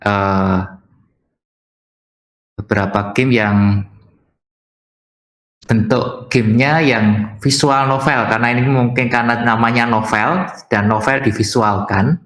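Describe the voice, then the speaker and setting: A man explaining things haltingly as the instructor of an informal online workshop. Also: mid-range voice. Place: indoor room on an online video call. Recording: roomy echo, music underneath. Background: soft guitar music.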